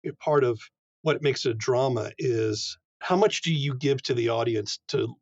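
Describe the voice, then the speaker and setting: A man's voice: medium pitch, moderate pace, no echo. A man hosting a conversational interview, reflectively building his questions, heard through slightly dull, lo-fi audio. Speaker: interviewer, host.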